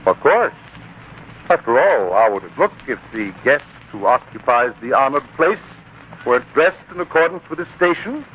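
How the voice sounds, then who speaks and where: An actor playing a proud, petty, easily offended employer with a pompous, indignant manner in a 1940s radio drama. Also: male voice, medium pitch, very animated, normal speed, natural voice. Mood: puzzled, peeved. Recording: tinny, noisy 1940s radio broadcast.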